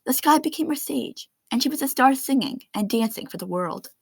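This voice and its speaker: A woman reading a children's picture book aloud in an expressive storytelling style. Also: high-pitched, brisk, vocal fry, husky, dry recording. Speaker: read-aloud narrator of children's books.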